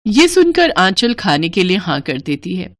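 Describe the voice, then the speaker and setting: A woman's voice: on the low side, sing-song, a little slow, no echo. A woman narrating a scripted family story in a dramatic storytelling style.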